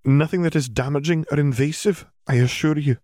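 Man voicing a stern, formal older schoolmistress, pitched up. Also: brisk, warm tone, no echo, studio quality, lightly acted. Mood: reassuring. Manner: precise, formal diction. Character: stern, formal older witch schoolteacher, female, measured and reassuring.

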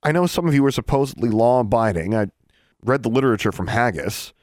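Young witch girl for storybook male reader, raised pitch, indignant, outspoken, defensive. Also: animated, quick pace, a little mellow, no echo, clean studio sound, lightly acted. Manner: blunt, defensive. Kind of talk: storybook character voice.

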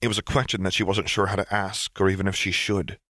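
A man with a low voice narrates a story in a dramatic, scripted audiobook style. The recording is close and dry, with no room sound. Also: little pitch movement, fast talker, sharp tone, no echo.

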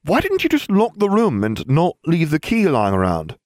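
A shrill, bookish teenage girl snaps back in exasperation, as a man reads her part. Her pitch climbs high and leaps around, and the voice sits close and dry. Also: wildly swooping pitch, moderate pace, darkish tone, no room sound. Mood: puzzled. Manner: snappish, exasperated.

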